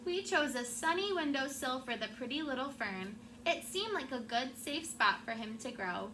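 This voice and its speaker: A woman teacher reading a picture book aloud to her class in an expressive storytelling way, with asides to the children. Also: high-pitched, moderate pace. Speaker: elementary school teacher. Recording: clearly noisy classroom recording.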